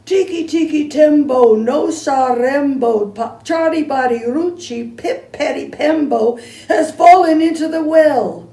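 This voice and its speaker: A woman voicing a frantic, breathless little boy who shouts high and fast while out of breath, heard from a distance in an echoey room. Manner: high, loud, rushing, out of breath.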